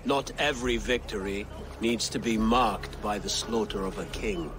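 A man voicing an older Saxon nobleman who chooses his words with care and speaks earnestly. He sounds steady and level, with noise running behind him in the game audio. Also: lowish voice, close to an everyday voice. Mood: firm. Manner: measured, earnest. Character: older Saxon nobleman, male, measured and cautious. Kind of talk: video game cutscene acting.

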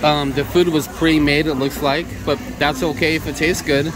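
An upbeat, chatty man reviews his meal in a busy restaurant, his voice sitting a little high for a man. Clear background music plays over the steady chatter of diners, picked up by a handheld camera mic. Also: normal speed, rough recording. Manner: upbeat, chatty, simple praise words. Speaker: vlog host. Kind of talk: casual food review vlog. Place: busy restaurant dining room. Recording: restaurant music and crowd noise.

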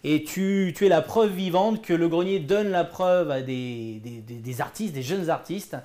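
A man's voice: fairly high pitch, unhurried, mellow. A man hosting an online show, enthusiastically interviewing a guest over a video call. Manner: enthusiastic, exclamatory. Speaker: show host. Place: indoor studio.

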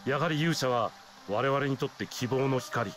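A man's voice: mid-range voice, moderate pace, lightly acted. An actor playing an earnest, dignified young king in a fantasy game, speaking politely and formally. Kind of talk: voice-acted fantasy game cutscene. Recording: quiet, distant, noisy game audio.

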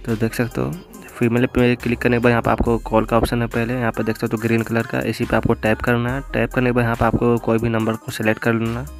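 Flat-toned young man, tutorial host. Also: mid-range voice, fast. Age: young adult. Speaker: tech tutorial video host. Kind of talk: step-by-step app tutorial narration. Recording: music bed behind voice. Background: background music.

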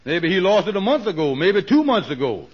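An actor playing a sly, fast-talking schemer in an old radio comedy, insistent and wheedling, with folksy phrasing, on a muffled vintage recording. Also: male voice, high voice, big pitch swings, breathy edge, full character voice. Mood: sly, persuasive. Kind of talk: 1940s radio comedy acting.

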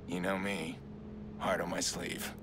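Gruff American game vigilante, very low voice, terse, determined, loud background noise. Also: male voice, flat delivery, measured pace. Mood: guarded. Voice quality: gravelly. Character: brooding, gruff vigilante man, determined and guarded. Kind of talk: video game voice acting. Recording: loud vehicle and ambient noise.

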